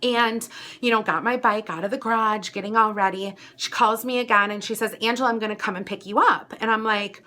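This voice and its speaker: An American woman vlogger telling a personal story casually, in a chatty, animated way with dramatic emphasis. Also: medium pitch, brisk.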